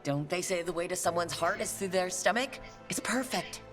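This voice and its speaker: Woman as low-voiced, wry adventurer heroine. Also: expressive, moderate pace, close to an everyday voice. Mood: amused, encouraging. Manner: wry, encouraging. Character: friendly, teasing young female adventurer and team leader. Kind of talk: natural game acting. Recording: game music behind.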